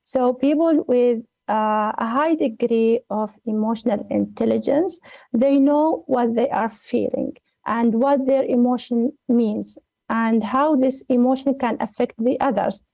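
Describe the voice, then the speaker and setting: Emirati woman explaining slowly, mellow and dry. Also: on the high side, gravelly, no room sound, highs cut off. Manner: careful, methodical, many fillers. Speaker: guest, executive coach. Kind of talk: explaining a concept in an interview.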